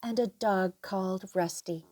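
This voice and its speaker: An older woman reading a children's picture book aloud in an expressive, unhurried way, acting out the dialogue. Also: medium pitch. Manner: animated, unhurried, acts out dialogue. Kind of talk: expressive read-aloud storytelling for young children.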